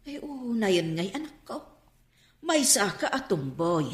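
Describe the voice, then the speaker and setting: Slow, expressive, exasperated mother. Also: medium pitch, reverberant. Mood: questioning, exasperated. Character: questioning, exasperated mother of a grown child. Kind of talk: radio drama acting.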